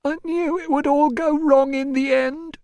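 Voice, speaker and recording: A very high, tearful young woman, as a male narrator acts her out, an enslaved mother in an audiobook. She is distraught and bitter, wailing her accusations in a close, dry recording. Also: fairly even intonation, a little slow, gravelly, a little mellow, dry recording, exaggerated voice.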